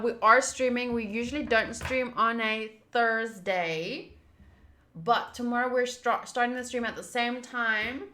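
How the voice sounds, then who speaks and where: A young woman talks casually to her livestream audience as she hosts a game playthrough. Her voice is bright and a little high. The sound is clearly roomy, like a microphone in an echoing room at home. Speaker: streamer, host of a game playthrough. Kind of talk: casual livestream chat and commentary.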